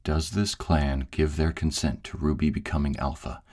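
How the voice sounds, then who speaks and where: A man's voice: a bass voice, fairly even intonation, moderate pace, darkish tone. A man playing a formal, authoritative shifter clan director who officiates a ceremony in an audio roleplay. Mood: formal, commanding.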